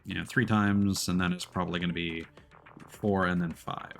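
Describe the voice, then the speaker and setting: A man's voice: low voice, steady tone, normal speed, vocal fry, a bit bright, soft background music. A man livestreaming a video game, chatting casually and commenting as he plays.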